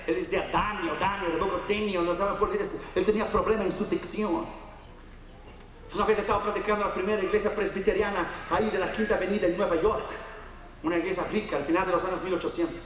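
Fervent preacher, echoing hall. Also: male voice, a little hiss or hum. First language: Portuguese. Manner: fervent preaching, swinging between loud high peaks and low quiet storytelling. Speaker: evangelist preacher. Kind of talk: sermon. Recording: echoey hall heard from far off.

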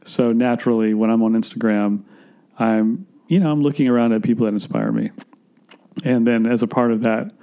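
A man in his thirties talks loosely and haltingly, doubling back on himself as he explains. His voice is mellow and dark, at an ordinary pitch for a man. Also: clean tone. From Florida, US. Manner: rambling, halting, self-deprecating. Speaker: guest filmmaker. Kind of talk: casual conversation.

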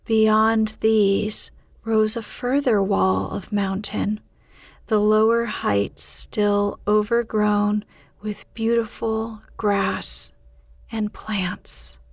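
A woman hosting and narrating a bedtime podcast in a soothing, unhurried, hushed way. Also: mid-range voice, little pitch movement, creaky, no echo. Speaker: podcast host and bedtime story reader. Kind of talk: slow sleep-podcast narration.

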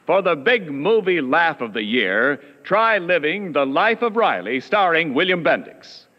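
Animated male radio announcer, lightly high voice. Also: unhurried. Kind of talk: scripted 1940s radio announcing and narration. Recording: reverberant, dull old radio recording.

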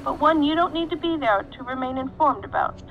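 High-voiced, dismissive deputy director. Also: female voice, lively intonation, fairly quick, muffled recording, slight background noise. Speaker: actor. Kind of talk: audio drama acting.

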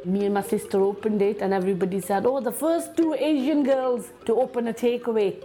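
A woman who ran a shop, telling her story in a lively, wry manner in an oral history recording. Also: a little high, fairly quick, faint music behind. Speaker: former shop owner.

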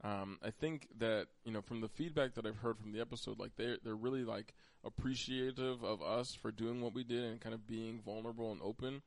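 A man's voice: fairly low pitch, fairly even intonation, brisk, hardly any pauses, dry recording. An American man hosting a podcast, chatting casually and conversationally with a teasing streak toward his co-host. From Pennsylvania, United States.

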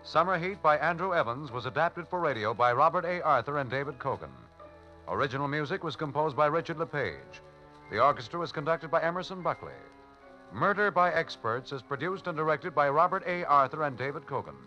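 A man's voice: fairly high pitch, lively intonation, brisk, sharp tone. A man reading closing credits as a 1940s radio network announcer. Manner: crisp, announcing. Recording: dull lo-fi broadcast with faint music.

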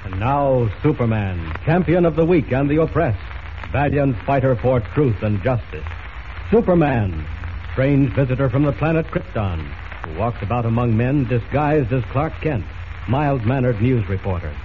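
A man's voice: medium pitch, lively intonation, moderate pace. A man narrating a radio serial as announcer, in a declamatory, dramatic 1940s style. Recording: tinny, hissy old radio transcription.

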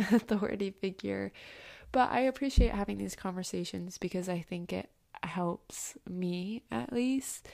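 A woman's voice: medium pitch, vocal fry, a little mellow. A young woman hosting a podcast, chatting casually with lots of fillers and restarts. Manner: chatty, many fillers and restarts, laughs often. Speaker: podcast host. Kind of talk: casual interview chat.

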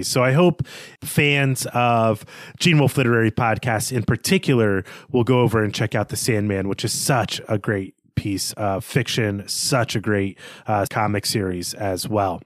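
A man's voice: on the low side, no echo. A man co-hosting a podcast, explaining and thinking aloud in a conversational literary discussion. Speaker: podcast co-host.

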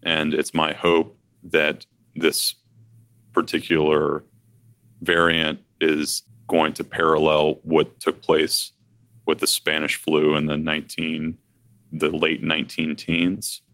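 A man who works as a financial advisor, talking through market news in a deliberate way that thinks aloud. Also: rumbling low, monotone, fairly bright tone, no echo. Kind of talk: conversational finance discussion.

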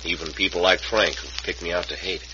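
An actor playing an earnest, friendly small-town frontier doctor, folksy and plain-spoken, in old-time radio drama style. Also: male voice, sits low, steady tone, brisk, close to an everyday voice. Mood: earnest, concerned. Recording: noisy, muffled vintage radio recording.

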